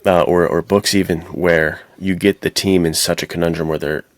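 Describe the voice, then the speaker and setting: A man's voice: deep voice, steady tone, normal speed, no echo, studio quality. A man co-hosting a podcast, casually discussing stories with emphatic, slangy enthusiasm. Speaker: podcast co-host. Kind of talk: casual film and book discussion.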